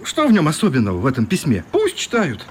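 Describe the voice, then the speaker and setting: Warm rueful newspaperman in a radio play, expressive up n down, slight background noise. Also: on the high side, fast. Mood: consoling. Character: kindly, self-mocking newspaper correspondent, a grown man. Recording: light steady background noise.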